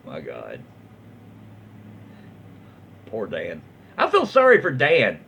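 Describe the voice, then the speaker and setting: A man reads internet stories aloud as a host, with a lively, swinging pitch. His delivery is folksy, with dramatic pauses and side comments. The sound is slightly dull and lo-fi, with faint noise. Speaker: online story reader and host. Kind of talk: animated reading of internet stories with asides.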